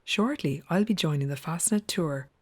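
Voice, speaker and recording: A woman's voice: sits low, expressive, moderate pace, slightly rough. A woman, narrating a travel documentary as its presenter.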